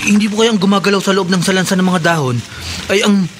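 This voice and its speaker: A man's voice: sits high, steady tone, fast talker, lightly acted. An actor playing a polite, helpful, uneasy young man in a Filipino horror radio drama, hesitant, with a Filipino accent. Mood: nervous suspicion. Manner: respectful, hesitant. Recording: clearly noisy ambience behind.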